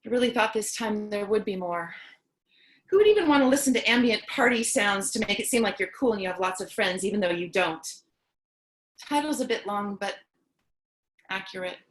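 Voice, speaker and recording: An actress playing a lonely, anxious, chatty survivor of the apocalypse in a staged reading, with a dramatic, rambling delivery. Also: female voice, mid-range voice, lively intonation, brisk, long pauses, close to an everyday voice. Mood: disappointed. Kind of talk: staged reading of a theatrical fable.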